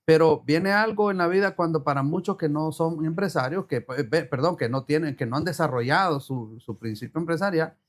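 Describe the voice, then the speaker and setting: Man, slightly high voice, earnest, repeats himself, preacherly, business guest. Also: brisk, hardly any pauses. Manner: earnest, repeats phrases, quotes the Bible. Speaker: guest entrepreneur. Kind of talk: casual chat with preacherly flourishes.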